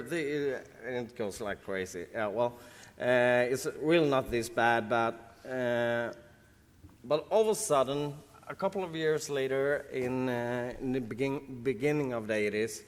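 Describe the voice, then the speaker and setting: A man from Sweden with a Swedish accent, talking casually on a convention panel, halting and restarting as he searches for words. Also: mid-range voice. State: jet-lagged. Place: large convention hall. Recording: reverberant hall.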